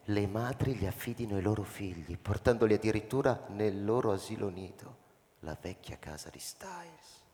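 An actor playing a thoughtful, uneasy school principal, narrating in a measured radio-drama manner with pauses. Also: male voice, lowish voice, animated, warm tone. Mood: brooding, uneasy. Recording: reverberant hall, music bed underneath. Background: music.

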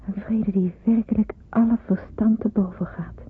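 An actress playing a devout, calm young woman in wartime hiding, narrating her thoughts in a measured, dreamy way for a radio play. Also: medium pitch, husky. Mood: serene, devout. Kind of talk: radio play acting with reflective inner narration. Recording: tinny, noisy old radio play recording.

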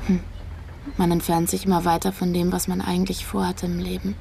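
A woman's voice: lowish voice, monotone, a little fast, gravelly, mellow, a little hiss or hum. An actress playing a searching, disillusioned young woman in a naturalistic radio drama, talking pensively. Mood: disillusioned, musing.

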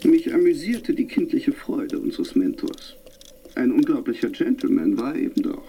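A man's voice: medium pitch, big pitch swings, normal speed, vocal fry, dark tone, slight background noise. An actor narrating in a reflective, half-whispered manner, as a man looking back on the past. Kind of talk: radio play narration.

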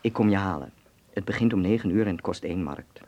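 Een acteur speelt een wereldwijze, plagerige en bazige vriend in een hoorspel, met veel nadruk. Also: male voice, sits low, fast, dark tone, dull audio. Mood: teasing, coaxing. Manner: emphatic, teasing, commanding. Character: worldly, teasing, bossy Dutch friend in 1930s Berlin. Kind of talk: radio drama acting.

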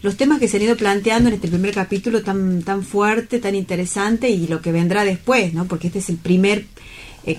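A woman's voice: mid-range voice, a little mellow, a little hiss or hum. A woman with an Argentine accent hosts a casual round-table talk, speaking reflectively and often checking for agreement with little tag questions. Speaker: host of a round-table radio program.

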